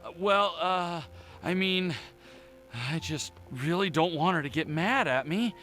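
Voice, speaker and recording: A man's voice: high-pitched, lively intonation, measured pace, sharp tone, soft background music, heavily acted. A man voicing an anxious, lovesick young male alchemist in an anime-style game, hesitant and stammering. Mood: anxious, apologetic.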